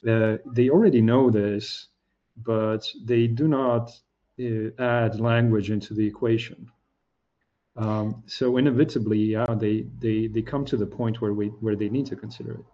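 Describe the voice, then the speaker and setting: Male co-host from Slovakia, native Slovak, flat low voice, lo-fi sound, loose thinking-aloud delivery. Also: moderate pace. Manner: thinks aloud with frequent restarts. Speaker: podcast co-host. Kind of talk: casual discussion.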